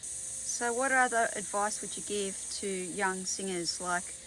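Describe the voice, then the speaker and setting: A woman's voice: medium pitch, a little slow, sharp tone, a little hiss or hum, rough recording. A woman interviewing someone, asking short, plain questions in a casual way.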